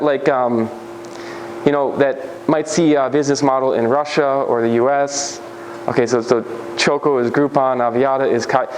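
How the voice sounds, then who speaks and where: A man giving a relaxed conference presentation, talking loosely with lots of "like" and "um" and frequent restarts. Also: mid-range voice, slight background noise, low-quality recording. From United States. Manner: casual, many fillers and restarts. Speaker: speaker. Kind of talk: conference talk.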